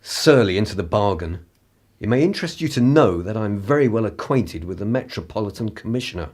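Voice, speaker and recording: An actor playing a pompous, articulate upper-class political radical in a radio play, with a husky voice. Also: male voice, on the low side, expressive, brisk, darkish tone. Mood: indignant. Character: indignant, haughty upper-class male political radical and spy.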